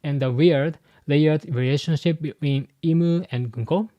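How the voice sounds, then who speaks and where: A man's voice: on the high side, moderate pace, mellow, no room sound, dull audio. Man explaining, dry sound. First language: Japanese. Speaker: YouTube host and translator. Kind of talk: scripted commentary.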